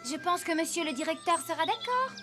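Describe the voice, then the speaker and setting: A woman's voice: very high-pitched, animated, fairly quick, over music. A woman voicing a plucky, caring, outspoken child in a cartoon, speaking boldly and earnestly. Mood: eager, helpful. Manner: bold, earnest, scolding adults without fear.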